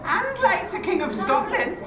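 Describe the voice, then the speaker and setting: A woman's voice: very high voice, sing-song, a loud crowd, exaggerated voice. Woman as an eerie witch with swooping delivery. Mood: portentous. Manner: theatrical, drawn-out. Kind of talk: comic sketch acting. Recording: distant, echoey, very noisy playback.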